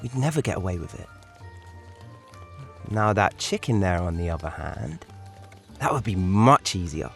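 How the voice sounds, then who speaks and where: A man's voice: deep voice, lively intonation, normal speed, vocal fry, pauses often, a bit bright, faint music behind, heavily acted. A man playing a responsible, scheming thirteen-year-old leader of street urchins in an audio drama, firm and reasoning.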